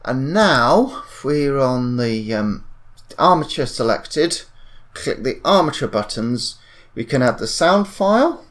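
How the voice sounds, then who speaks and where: Male tutorial presenter, animated intonation, mid-range pitch, informal, hesitant, self-correcting. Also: takes it slowly. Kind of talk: informal screen-recorded software tutorial.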